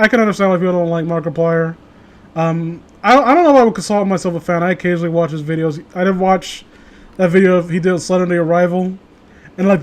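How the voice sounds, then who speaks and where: A man in casual, rambling gaming chat, swearing freely as he goes. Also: high voice. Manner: profane, rambling.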